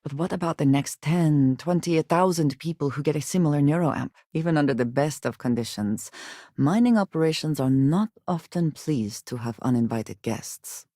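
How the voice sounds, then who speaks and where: A woman's voice: sits low, warm tone, no room sound. Guarded, solemn space adventurer woman, dry sound. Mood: earnest, composed. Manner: formal, measured diction with few contractions. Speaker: video game voice actress. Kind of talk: scripted game character voice lines.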